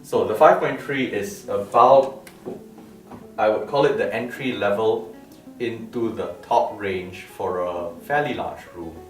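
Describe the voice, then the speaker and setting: Distant, roomy low-voiced man presenting. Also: unhurried. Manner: emphatic explainer, repeats words and asks rhetorical questions. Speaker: loudspeaker designer presenting his product line. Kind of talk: informal product talk to camera. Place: medium-sized living-room-like room indoors. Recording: roomy, distant mic with music behind. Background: plucked lute music.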